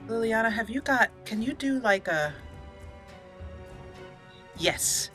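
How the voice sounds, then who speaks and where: Bright-voiced, unhurried woman gaming host. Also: fairly high pitch, animated, faint music behind. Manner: playful, giggly, chatting to game characters. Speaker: let's play host. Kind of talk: casual live gameplay commentary.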